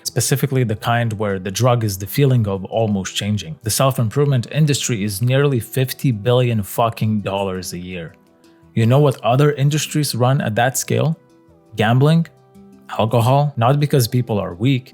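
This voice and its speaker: A man's voice: mid-range voice, a little mellow. Blunt man with a Lithuanian first language. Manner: blunt, confrontational, with frequent swearing. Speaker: video essayist. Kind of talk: scripted monologue.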